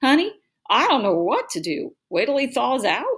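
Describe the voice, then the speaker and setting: A woman's voice: fairly high pitch, very animated, moderate pace, slightly rough, voice pushed up. A woman voicing an amused, exasperated father in his thirties in a storybook, teasing and emphatic. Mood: amused, helpless. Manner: mocking, emphatic.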